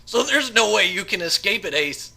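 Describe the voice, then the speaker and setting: A man's voice: high voice, lively intonation, moderate pace, creaky, pushed and bright, slightly rough, voice pushed up, heavily acted. Man playing a crude, demented bragging convict. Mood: gloating. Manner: crude, taunting, bragging, wheedling. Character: demented male convict assassin, crude, bragging, predatory bully.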